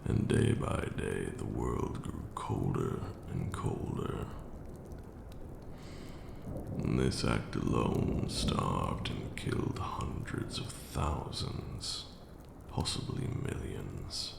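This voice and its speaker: A man voicing an ancient, brooding vampire lord who mentors with dark grandeur and remorse in a hushed, formal ASMR roleplay monologue. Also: extremely low pitch, sing-song, echoey room, long reverb tail, background noise, heavily acted. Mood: grim, ominous. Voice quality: gravelly, breathy drops into near-whisper. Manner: slow, deliberate, hushed, formal archaic phrasing.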